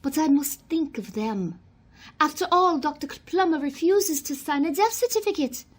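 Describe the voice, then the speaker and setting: A woman's voice: sits high, expressive, normal speed. A woman acting a haughty, anxious society lady in a theatrical radio play, dramatic and imperious. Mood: appalled.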